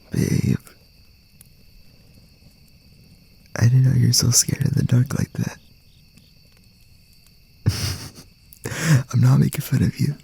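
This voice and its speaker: A man playing a gentle, teasing young boyfriend in an intimate ASMR roleplay, murmuring softly and reassuringly in a breathy, gravelly voice. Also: little pitch movement, exaggerated voice. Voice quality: soft, breathy, husky, gravelly low murmur. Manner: unhurried, rambling, reassuring, with pauses.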